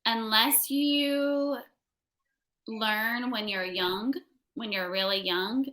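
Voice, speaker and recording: Slow-talking American woman, bright voice. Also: on the high side. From United States. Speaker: online English tutor. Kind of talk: casual one-on-one conversation lesson.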